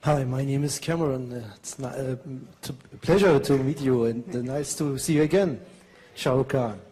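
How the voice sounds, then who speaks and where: A man journalist asking a question at a press conference, nervous and giggly, speaking halting English full of fillers. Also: medium pitch, mellow, slight background noise.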